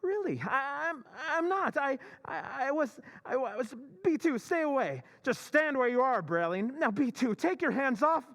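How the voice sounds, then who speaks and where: A man's voice: extremely high pitch, lively intonation, moderate pace, few pauses, fairly bright tone, heavily acted. A man playing a meek, apologetic husband who stammers nervously in an old-time radio drama recreation. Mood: panicked.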